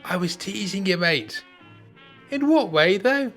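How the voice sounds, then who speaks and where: Actor as cheeky, high-pitched older man. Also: animated, unhurried, a bit bright, faint music behind, full character voice. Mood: mischievous, teasing. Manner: teasing, matey. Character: cheeky, teasing older male friend in care home. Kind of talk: amateur radio play acting.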